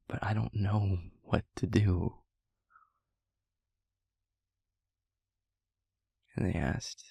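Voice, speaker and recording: Man acting as male demon steward, slow halting delivery, breathy and gravelly, soft-spoken, reflective, intimate audio roleplay, close dry sound. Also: no room sound, heavily acted. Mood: reflective, earnest. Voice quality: husky, breathy, gravelly low voice.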